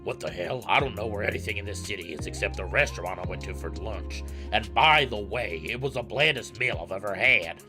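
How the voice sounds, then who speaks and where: A man voicing a big male wolverine ambassador in a visual novel game, with a deep, gruff voice and a blunt, irritable, swearing manner. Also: lively intonation, brisk, hardly any pauses, over music, lightly acted. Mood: indignant.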